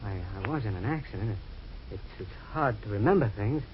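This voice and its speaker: A man's voice: fairly low pitch, animated, a little fast, close to an everyday voice. A man playing a stammering, confused amnesiac. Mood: anxious. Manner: stammering, anxious. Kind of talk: 1940s radio drama acting. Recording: dull old radio broadcast with faint hiss.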